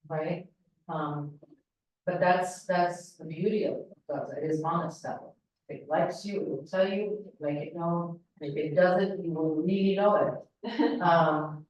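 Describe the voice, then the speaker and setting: Measured Palestinian woman in an echoey hall. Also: low voice, a little mellow. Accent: Palestinian Arabic, Syrian-leaning. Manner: thoughtful, measured, many fillers. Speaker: panel speaker, political analyst. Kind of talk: panel discussion answer. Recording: roomy hall, voice from far off.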